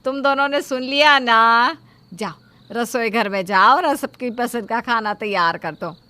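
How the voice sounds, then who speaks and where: A woman's voice: a little high, a little slow, noisy background, lightly acted. A woman voicing a bossy middle-aged mother-in-law in a Hindi cartoon story, scolding and giving orders. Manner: scolding, commanding. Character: bossy middle-aged Indian mother-in-law, demanding, fussy about guests.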